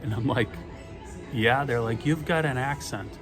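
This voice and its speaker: A man's voice: mid-range voice, unhurried. A middle-aged man from Boston with a Boston accent, telling stories in a chatty, rambling way with dry humor. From Boston, USA. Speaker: vlogger. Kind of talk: casual storytelling monologue. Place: airport terminal with gate announcements. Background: music and airport PA announcements.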